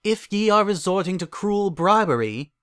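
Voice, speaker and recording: A man's voice: high-pitched, expressive, normal speed, fairly bright tone, clear, resonant tone, no room sound, studio quality, pitched above the performer's own voice. A man voicing a regal, confident goddess of love who speaks in grand, archaic, formal phrases in a fairy tale reading. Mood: scolding.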